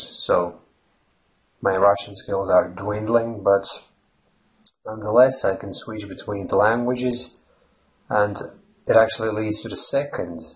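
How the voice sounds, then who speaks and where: A man's voice: on the low side, poor audio. A man from Latvia with a Latvian accent, hosting a language-learning channel and explaining at length in a rambling way with fillers and restarts. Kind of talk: unscripted explanatory monologue. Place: Ireland.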